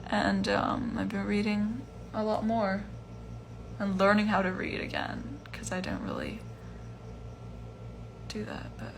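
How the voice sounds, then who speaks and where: A young woman in her twenties answering fan questions in a casual livestream from home, relaxed and chatty with lots of fillers. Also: mid-range voice, takes it slowly, vocal fry, pauses often, background noise. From Iceland. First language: Chinese. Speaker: musician hosting a livestream Q&A. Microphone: phone livestream.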